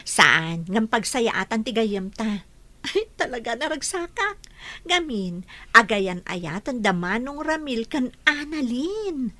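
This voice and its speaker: An actress playing a chatty, cheerful young friend who brings gossip in a radio drama. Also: female voice, a little high, lively intonation, takes it slowly, pushed and bright, no room sound, heavily acted. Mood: delighted.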